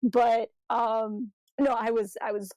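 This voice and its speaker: Playful, chatty woman with a higher voice. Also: level tone, slow, dark tone, no room sound. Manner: playful, jokey enthusiasm. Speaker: podcast host. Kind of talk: casual fan podcast chat.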